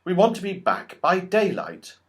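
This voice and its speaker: A man's voice: high-pitched, sing-song, measured pace, fairly bright tone, voice pushed up, heavily acted. A man voicing a bold young boy in a children's storybook, speaking emphatically and plainly. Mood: brisk, earnest.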